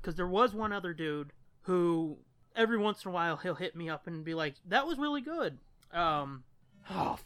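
Bright, high-voiced man chatting casually. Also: no room sound. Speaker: podcast co-host. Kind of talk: casual podcast chat.

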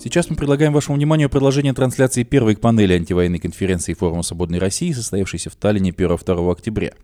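A man reading a news report on a radio program, running through quotes in the same steady reading voice. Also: lowish voice, very fast, soft background music. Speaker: news presenter.

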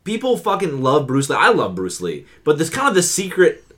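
A man's voice: a little high, huge pitch swings, brisk, bright, forceful tone. A man co-hosting a podcast, talking in casual chat, swearing often and laughing easily. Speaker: podcast co-host.

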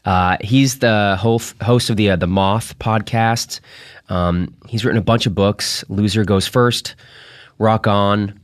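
A man hosting a podcast, introducing material in a casual, halting, informal way. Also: lowish voice, steady tone, no echo, studio quality. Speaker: podcast host.

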